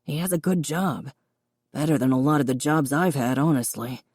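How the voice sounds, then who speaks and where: A woman narrator voicing a remorseful older father with a quiet, reedy voice. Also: a bass voice, normal speed, husky, no echo, clean studio sound. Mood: tender.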